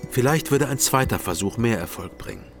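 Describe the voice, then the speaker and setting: An actor playing a guarded, sober investigator who narrates a radio crime drama in an understated, matter-of-fact way. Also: male voice, mid-range voice, animated, fairly quick, close to an everyday voice. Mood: sober, reflective. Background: music.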